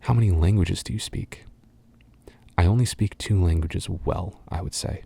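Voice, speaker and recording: A young adult man from the United States, talking in a soft, half-whispered way and rambling through fan questions with lots of ums and uhs. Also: sits low, moderate pace, creaky, husky. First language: English. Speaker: voice actor answering fan questions.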